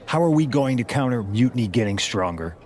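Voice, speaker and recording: A man's voice: mid-range voice, lively intonation, a little fast, darkish tone, slight background noise, close to an everyday voice. A man playing a serious, pragmatic wrestler in a video game cutscene. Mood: concerned, matter-of-fact. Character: serious, pragmatic male wrestler delivering team updates.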